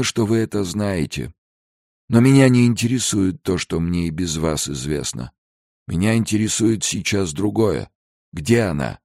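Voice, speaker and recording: A man's voice: low voice, a little mellow, dry recording, studio quality, pitched above the performer's own voice. A man voicing a grieving older father. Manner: measured, commanding questions.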